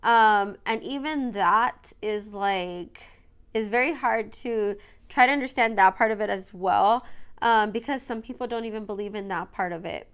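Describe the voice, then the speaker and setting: A woman's voice: mid-range voice, normal speed, fairly bright tone, smooth. Chatty woman podcaster, stuffy from allergies. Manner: rambling, many fillers and "you guys". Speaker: podcast host. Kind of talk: casual solo podcast talk.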